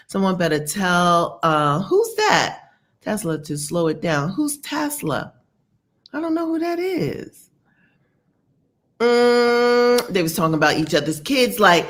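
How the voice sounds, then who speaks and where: A woman, chatting candidly as a podcast co-host and acting out quotes. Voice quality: deep voice. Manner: candid, animated storytelling with acted quotes. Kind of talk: casual opinion chat.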